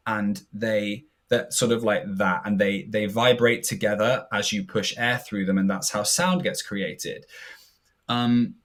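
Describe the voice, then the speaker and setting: A man, co-hosting a podcast and explaining things in a chatty way with hesitant fillers. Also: fairly low pitch. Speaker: podcast co-host. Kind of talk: casual podcast commentary.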